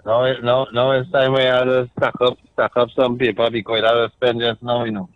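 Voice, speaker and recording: A man's voice: mid-range voice, level tone, no room sound, faint music behind. A man with a Trinidadian accent calling in to a radio talk show, arguing his point step by step and often checking in with "right?". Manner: measured, argues point by point with frequent "right?". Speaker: radio caller. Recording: muffled dry call-in line.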